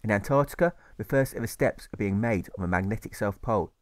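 A man's voice: on the low side, fast talker, no room sound. Man narrating a rhyming audiobook, dry recording. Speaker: author narrating his audiobook. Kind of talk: scripted rhyming story narration.

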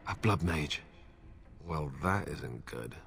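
A man's voice: a bass voice, lively intonation, slow, vocal fry, fairly bright tone, exaggerated voice. An actor playing a male companion in a fantasy video game. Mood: dismayed disbelief.

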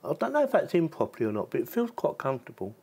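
A man's voice: on the high side, wildly swooping pitch, rapid-fire, creaky, a little mellow, breathy edge. A man hosting a casual product review, chatting informally and thinking aloud as he demonstrates the gear. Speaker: tech reviewer and channel host.

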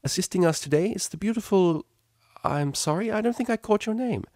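A man voicing a kindly, easygoing older female pony who teaches art, with a gentle, friendly manner. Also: high-pitched, expressive, brisk, no room sound, studio quality, pitched above the performer's own voice. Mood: addressing class. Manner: gentle, friendly, affectionate address.

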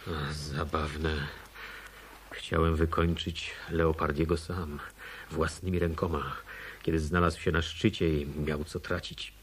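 An actor playing a bitter, guilt-laden hotel auditor confessing in a radio play. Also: male voice, a bass voice, little pitch movement, slow pace, slight background noise. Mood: resigned, confessing.

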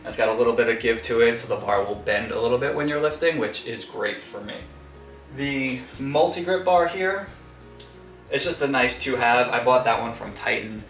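A man's voice: mid-range voice, moderate pace, a bit bright, faint music behind. A man in his thirties giving a casual tour of his small basement home gym, explaining his gear in a chatty way. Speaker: fitness vlogger. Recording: echoey basement room.

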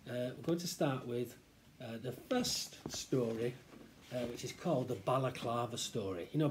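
A man's voice: mid-range voice, a little mellow, a little hiss or hum, rough recording. A middle-aged man with a British accent reading a children's story aloud expressively, recorded at a desk in a small office.

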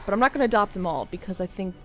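A woman's voice: mid-range voice, lively intonation, racing, soft background music. A young adult woman giving casual, playful, slangy reaction commentary as she watches a video game. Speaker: gamer reacting to game cutscenes. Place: indoor room, game sound behind. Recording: loud game sound behind the voice.

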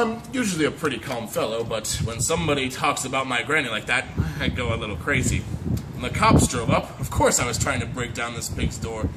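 A man's voice: mid-range voice, close to an everyday voice. A man reading as a chatty, aggrieved storybook wolf who pleads innocence, in a folksy, emphatic way. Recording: noisy amateur phone video recording.

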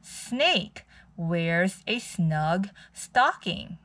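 A woman's voice: lowish voice, big pitch swings, drawn-out words, fairly bright tone. A woman reading a picture book aloud for young children as a storytime host.

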